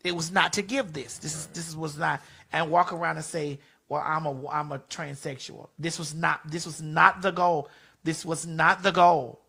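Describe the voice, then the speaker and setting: Bright-voiced blunt woman in her forties. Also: low-pitched, fairly even intonation, normal speed, gravelly, breathy edge. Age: 40s. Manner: blunt, emphatic, profane, repeats phrases for stress. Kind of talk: casual opinion chat.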